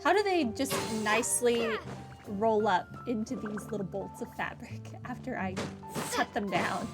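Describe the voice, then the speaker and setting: A woman's voice: a little high, expressive, measured pace. A woman giving casual playthrough commentary on a video game with wry, playful asides. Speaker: game streamer. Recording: game music behind the voice. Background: video game music.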